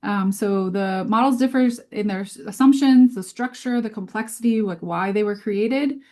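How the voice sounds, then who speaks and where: A woman's voice: medium pitch, moderate pace, a little mellow. Woman giving an informal science webinar. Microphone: video call.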